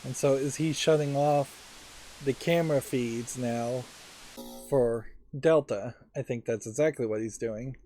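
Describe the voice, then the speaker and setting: A let's player giving casual, halting, thoughtful commentary on a video game, musing as they go. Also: mid-range voice, warm tone, slight background noise. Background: game audio and music.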